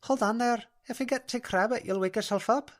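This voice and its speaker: A woman's voice: fairly high pitch, a little fast, voice pushed up, natural voice. A woman voicing a soothing, earnest female dream-walker with a broad Scottish accent in an audiobook.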